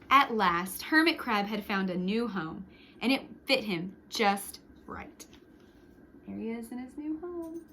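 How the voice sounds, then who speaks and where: A woman reading a picture book aloud to young children, expressively, with short hushed asides to the listeners. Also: high-pitched, a little hiss or hum. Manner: expressive, with hushed whispered sound words and asides. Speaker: storytime reader for young children.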